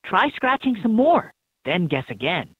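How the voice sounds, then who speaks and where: A man's voice: high voice, very animated, measured pace, a bit bright, husky, dry recording, exaggerated voice. A man voicing an upbeat helper who gives scripted instructions in a children's educational game. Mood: upbeat, instructive. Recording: tinny, band-limited handheld game audio clips.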